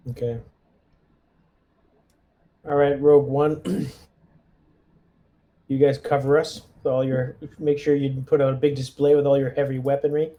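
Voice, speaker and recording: A man with a medium-pitched, mellow voice takes part in a tabletop roleplaying game. He talks casually and easily, pausing to work out what his character does. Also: level tone.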